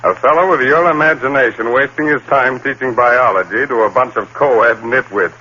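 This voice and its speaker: An actor playing a jovial, disbelieving friend who teases, in 1930s radio drama style. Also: male voice, mid-range voice, normal speed, sharp tone, lightly acted. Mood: teasing. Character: skeptical male friend, jovial and teasing. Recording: dull old radio broadcast with hiss.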